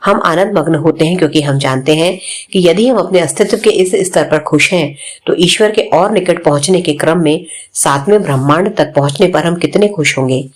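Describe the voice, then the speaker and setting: A woman's voice: on the low side, moderate pace. A woman reading a spiritual self-help book aloud in a plain, instructive manner. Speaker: audiobook reader.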